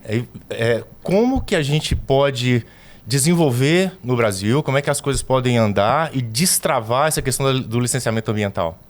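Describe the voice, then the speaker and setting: A man's voice: medium pitch, animated, normal speed. A man co-hosting a podcast, asking the guest long, conversational questions. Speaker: podcast co-host. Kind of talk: casual interview questions.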